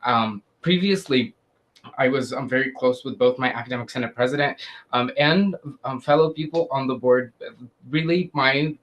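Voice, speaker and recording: An earnest young man from Syria answers questions before a student committee. He speaks with frequent pauses and fillers at a middling pitch. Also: a bit bright, rough recording. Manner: hesitant, many "um"s, earnest. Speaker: student applicant.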